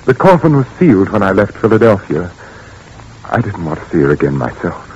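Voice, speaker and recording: A tormented grieving widower. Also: male voice, deep voice, very animated, brisk, a little mellow. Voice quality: anguished, pleading. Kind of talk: 1940s radio acting. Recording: dull old radio recording with faint noise.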